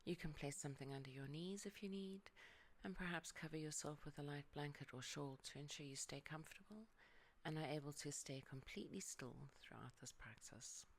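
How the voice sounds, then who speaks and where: A yoga nidra guide leading a calm relaxation meditation, speaking softly and often sinking into a whisper. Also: mid-range voice, fairly quick, slight background noise.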